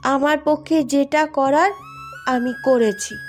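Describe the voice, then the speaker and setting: A woman voicing an earnest, determined young village schoolgirl in a cartoon drama, solemn and deliberate. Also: high-pitched, steady tone. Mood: resolute. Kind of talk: Bengali cartoon drama dubbing. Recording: music under the voice.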